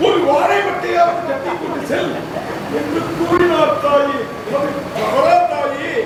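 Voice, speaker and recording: A man's voice: very high voice, fairly even intonation, slow. A man acting as a proud young warrior prince speaking earnestly to his mother in a stage play. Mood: pleading. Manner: earnest, declamatory, pleading. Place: large auditorium stage. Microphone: distant room mic. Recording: echoey hall from far off, loud noise.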